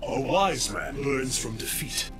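A vengeful undead warrior for a fighting game. He speaks slowly and formally, with menacing swings of pitch and a harsh, breathy growl. Dramatic music plays behind him. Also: expressive. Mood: stern. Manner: solemn, formal, declamatory threats. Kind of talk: video game fighting dialogue.

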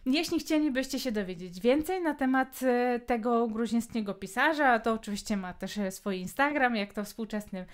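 Polish woman, podcast host, casual book talk, hesitant fillers, slightly high pitch. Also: moderate pace, hardly any pauses. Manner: chatty, many hesitation fillers.

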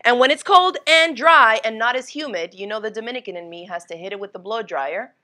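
Bright-voiced woman hosting a quilting livestream. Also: fairly high pitch, a little fast. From Florida, USA. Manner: chatty and upbeat, greets viewers by name. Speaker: quilting teacher and livestream host. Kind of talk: casual livestream tutorial. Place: home sewing studio, indoors.

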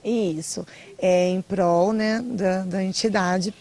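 A woman's voice: mid-range voice, fairly even intonation, takes it slowly, a little mellow. A young woman being interviewed outdoors, answering in a casual, chatty way. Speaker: marketing manager of a charity. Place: outdoors by a brick wall.

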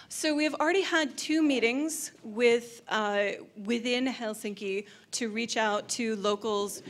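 A woman's voice: fairly high pitch, slight background noise. A woman presenting on a handheld mic in a large hotel function room, upbeat and eager. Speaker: convention presenter. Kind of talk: panel presentation. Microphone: handheld microphone.

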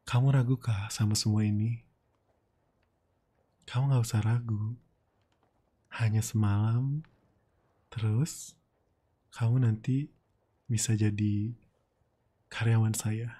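Low, breathy Indonesian boss played by a man. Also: fairly even intonation. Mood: persuasive, commanding. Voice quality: soft, breathy. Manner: slow, coaxing, murmured. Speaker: ASMR roleplay streamer. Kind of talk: intimate boyfriend roleplay ASMR. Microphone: close ASMR microphone.

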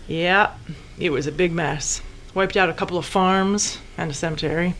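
A woman's voice: fairly low pitch, normal speed, a bit bright, slight background noise. Woman acting a courteous American small-town woman. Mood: matter-of-fact. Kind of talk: audio drama acting.

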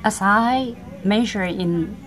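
A woman's voice: medium pitch, slow pace. A woman in her forties giving emphatic advice in non-native English, recorded close on a phone in an open-plan office. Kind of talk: casual advice. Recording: crowd chatter in office.

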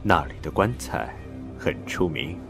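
An eerie coffin seller speaks in an extremely deep voice. He drags his words out slowly and ominously, as in a horror radio play, over clear background music. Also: animated, exaggerated voice. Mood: ominous calm. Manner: slow, sinister, ominous.

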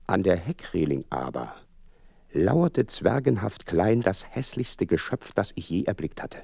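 Radio drama doctor-chronicler: loyal, earnest, low voice, dry close sound. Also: male voice, vocal fry, a little mellow, dry recording, exaggerated voice. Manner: measured, literary storytelling. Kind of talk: dramatic radio play narration.

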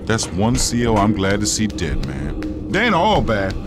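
An actor playing a desperate, foul-mouthed prison inmate in a video game, agitated and coarse, talking in street slang. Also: male voice, on the low side, wildly swooping pitch, unhurried, over loud music. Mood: agitated, bitter.

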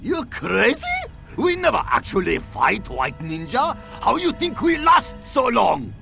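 An actor playing an excitable, cowardly but boastful ninja guard in a video game, with a gravelly voice, Japanese accent and broken English. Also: male voice, high-pitched, a little slow, soft background music, full character voice. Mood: alarmed. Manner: broken English, exaggerated delivery. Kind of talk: video game cutscene acting.

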